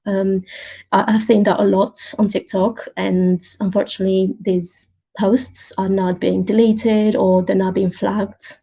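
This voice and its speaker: Woman guest chatting in an interview, mellow husky voice, kinda muffled audio. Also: mid-range voice, level tone, a little slow. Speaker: interview guest, open-source intelligence researcher. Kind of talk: conversational interview answers.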